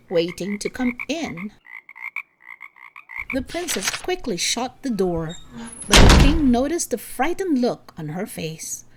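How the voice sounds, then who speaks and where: A woman narrating a scripted children's story in an expressive, measured way. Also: fairly high pitch.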